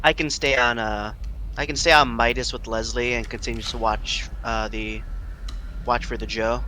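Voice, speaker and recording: A man casually talking through a tabletop game as a player, tentative and halting. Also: mid-range voice, fairly even intonation, noisy background.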